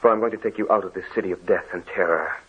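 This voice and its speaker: Brief: earnest young suitor, husky, passionate; muffled vintage radio sound. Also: male voice, deep voice, racing. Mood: reassuring. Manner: ardent, pleading. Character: young male lover, earnest and devoted. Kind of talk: 1940s radio acting. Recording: dull lo-fi old radio recording.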